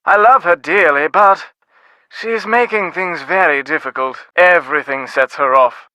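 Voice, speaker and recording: An actor playing a busy, reserved middle-aged British diplomat in a video game, speaking in a formal, clipped, wordy way. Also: male voice, on the high side, unhurried, bright, forceful tone, no echo, rough recording. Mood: exasperated, reserved.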